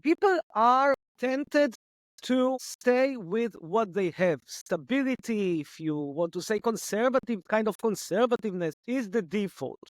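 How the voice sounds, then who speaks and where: A man's voice: high voice, animated, measured pace, no room sound. A man, a guest, lecturing in an argumentative, analytical way and answering objections he raises himself. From Israel. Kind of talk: analytical debate.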